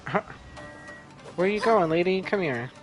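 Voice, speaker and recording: Unhurried, laid-back man commentating a game. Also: sits high, animated, soft background music. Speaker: gamer commentating gameplay. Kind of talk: casual gameplay commentary.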